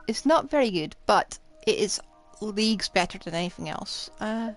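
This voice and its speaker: A woman's voice: medium pitch, lively intonation, normal speed. A woman streaming a video game, chatting casually with rambling, joking asides. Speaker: live streamer. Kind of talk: casual gaming livestream chat. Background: game music.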